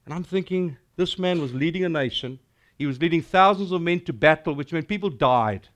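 A middle-aged man with a South African accent preaching a sermon, animated and declamatory as he tells a battle story and recites verse. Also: a little high, brisk. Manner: animated and declamatory, gesturing widely.

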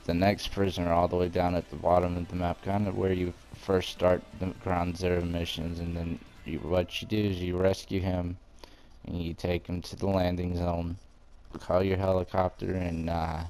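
A man's voice: sits low, level tone, normal speed, a little mellow. A man giving a casual video game walkthrough, explaining things step by step in an informal way. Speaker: gamer presenting a walkthrough.

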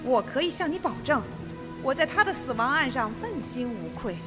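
A stern female judge in a radio play. Her voice is high and her delivery measured and authoritative, utterly sure of herself. Music plays behind her. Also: big pitch swings. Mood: cold, firm. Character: composed, stern older female judge, cold and self-assured. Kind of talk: radio drama acting.

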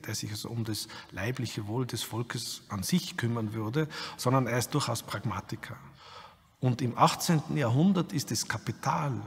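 A man with a very rough, husky voice gives an expert interview. He explains in an academic way, and the room leaves a long reverb around him. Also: medium pitch.

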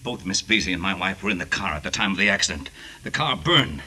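An actor playing a cold, cornered wife-murderer in a 1940s radio noir, speaking in a terse, grim, measured way. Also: male voice, low-pitched, animated, bright, forceful tone, slightly rough, exaggerated voice. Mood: confessing.

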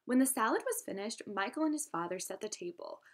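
Woman reading aloud with lively, swooping intonation. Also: a little high, brisk. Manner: instructive, addresses listeners with questions. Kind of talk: expressive storybook read-aloud with asides to students.

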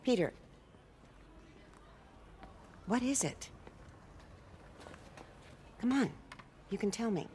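An actress playing a caring, gently prying older aunt in a video game, affectionate and coaxing. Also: female voice, a little high, lively intonation, takes it slowly, a little hiss or hum. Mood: concerned. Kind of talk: video game cutscene acting.

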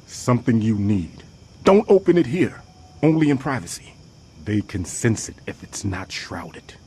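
An actor playing a tough but anxious industrial firefighter in a video game, urgent and plain-spoken. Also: male voice, medium pitch, sing-song, a little slow, husky, heavily acted. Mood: hushed, urgent. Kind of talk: video game cutscene acting. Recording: loud background roar and ambient noise.

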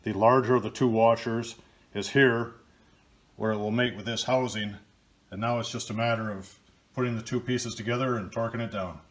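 A man's voice: medium pitch, fairly even intonation, moderate pace. Older man explaining a pump repair. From Michigan, US. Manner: plain, practical, step-by-step explaining. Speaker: DIY video host. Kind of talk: casual how-to narration. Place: workshop, table of pump parts.

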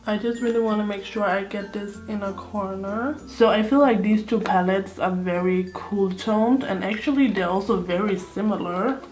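A young woman with a slightly hoarse voice, chatting casually through a makeup tutorial at home. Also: mid-range voice, normal speed, few pauses, darkish tone, faint music behind. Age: young adult. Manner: chatty, thinking aloud while applying makeup. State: slightly hoarse, sore throat. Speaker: beauty vlogger. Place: indoor room at home. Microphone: close camera facing her.

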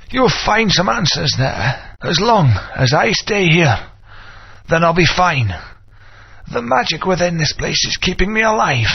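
A grave old wizard mentor, his high, gravelly voice rising and falling widely as he tells old history. He sounds weary and solemn. The game audio is muffled and lo-fi. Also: exaggerated voice. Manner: solemn, slow storytelling delivery.

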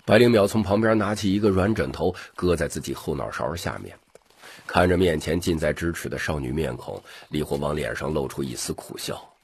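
A man with a rough voice narrating a scripted audiobook story. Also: rumbling low, animated, brisk.

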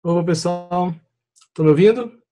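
A man's voice: high-pitched, normal speed. A Brazilian man in his fifties, an architect, introducing himself casually and in a friendly way on a web seminar. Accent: Brazilian Portuguese. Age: 50s. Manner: relaxed, friendly greetings with fillers. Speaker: architect and panelist. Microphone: video call.

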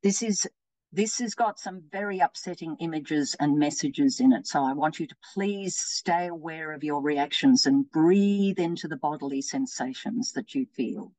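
A woman's voice: deep voice, moderate pace, darkish tone, no room sound, low-quality recording. Woman leading an online workshop. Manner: encouraging, reads out chat replies. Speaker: anti-racism facilitator. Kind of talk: interactive webinar teaching. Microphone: video call.

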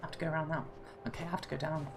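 A woman hosting a casual let's-play, chatting and thinking aloud, her voice slightly hoarse. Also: sits low, flat delivery, rapid-fire. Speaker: gaming channel host. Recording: clear game music behind.

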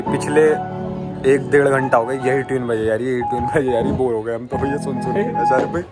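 A young man casually narrating a vlog in informal, slangy speech at a cafe. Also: medium pitch. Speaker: vlogger. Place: cafe with terrace. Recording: loud music over the voice. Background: loud cafe music.

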